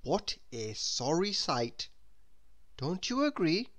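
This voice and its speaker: A woman's voice: lowish voice, sing-song, slow. A woman reading a children's storybook aloud, expressively and as if speaking straight to young listeners.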